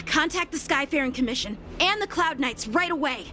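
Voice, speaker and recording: A woman's voice: sits high, animated, fairly quick, noisy background. A woman voicing a decisive, sceptical young commander in a video game, urgent and businesslike. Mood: alarmed, decisive. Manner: urgent, crisp orders. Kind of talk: video game cutscene acting.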